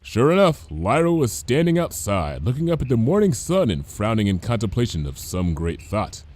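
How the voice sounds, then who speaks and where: A man narrates a piece of fiction at a middling male pitch. His storybook delivery is lively, moving expressively up and down. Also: normal speed. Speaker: fan fiction reader, narrator.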